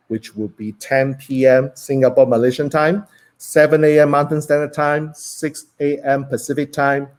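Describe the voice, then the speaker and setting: Hong Kong-accented man hosting a live stream, mid-range voice, pushes energy up and lets it fall, restarts a lot. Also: medium pitch. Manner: energetic, voice rising then dropping, frequent okays and restarts. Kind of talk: solo live stream talk to viewers.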